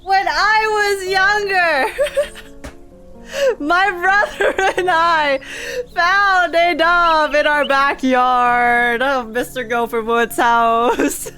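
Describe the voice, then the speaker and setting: A woman's voice: high-pitched, steady tone, drawn-out words, bright, forceful tone, faint music behind. A woman streamer giving live game reaction commentary in a playful, exclamatory, theatrical manner.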